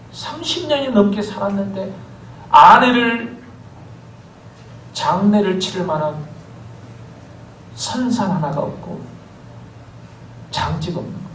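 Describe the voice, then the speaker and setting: A man preaching a sermon as pastor, telling stories with lively swings of voice and calling for amens. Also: high-pitched, slight background noise. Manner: animated storytelling preacher, calls for amens. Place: church sanctuary. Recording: echoey church hall from far off.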